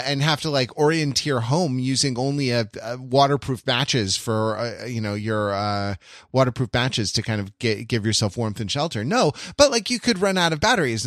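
A man co-hosting a podcast in casual chat, speaking haltingly as he circles toward his point. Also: mid-range voice, fairly bright tone, no room sound, studio quality. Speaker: podcast co-host.

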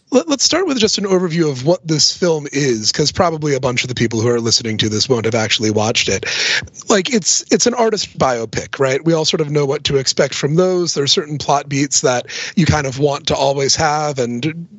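Higher-pitched man, slightly dull dry recording. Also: animated, a little fast, slightly rough, dry recording. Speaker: guest expert on a podcast. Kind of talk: casual explanatory discussion.

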